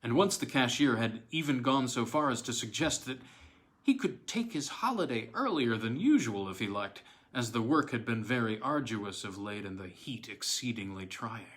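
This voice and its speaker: A man reading a classic horror story aloud as audiobook narrator, in a measured, deliberate way with dramatic pauses. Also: mid-range voice, lively intonation, fairly bright tone.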